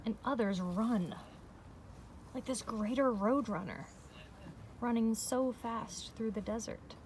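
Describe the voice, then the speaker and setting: A woman teacher reading a picture book aloud to young children, explaining in a measured way with pauses between phrases. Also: a little high, darkish tone. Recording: distant mic with faint background noise.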